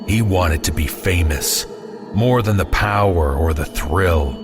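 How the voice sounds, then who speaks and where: Haunted young male student, played by a man narrator: tearful, halting, slow horror-story delivery over clear music. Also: low-pitched. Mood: haunted, fearful. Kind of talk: horror story character dialogue.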